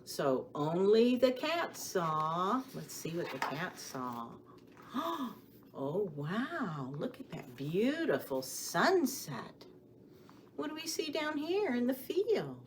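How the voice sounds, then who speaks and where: A woman leads a story time for small children in a very animated voice. She takes her time, stressing words expressively and putting questions to her young listeners. There is steady noise behind her. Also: medium pitch, slow pace. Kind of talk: children's story time with songs, rhymes and picture book reading.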